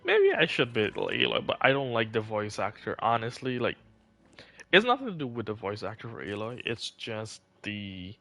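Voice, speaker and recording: A man's voice: lowish voice, bright, forceful tone. A man chatting casually on a live game stream, rambling and thinking aloud as he goes.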